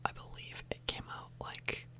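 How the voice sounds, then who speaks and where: A woman speaks entirely in a soft, breathy whisper. She rambles casually in an ASMR style, hesitating and correcting herself as she chats to her viewers. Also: a little slow. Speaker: ASMR YouTuber, host. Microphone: microphone a little further away than usual.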